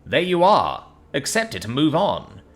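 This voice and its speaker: Introverted former Air Force man, earnest and self-doubting, as a man reads him. He sounds close to the narrator's own voice, with widely varied pitch. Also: huge pitch swings, unhurried. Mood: animated.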